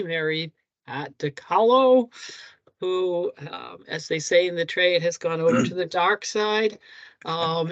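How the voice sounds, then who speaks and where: An older man with a gravelly voice introduces colleagues informally in an online meeting. The sound is dry and a bit muffled, as on a video call. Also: sits high, no room sound. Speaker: senior government official. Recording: dull, lo-fi video call audio.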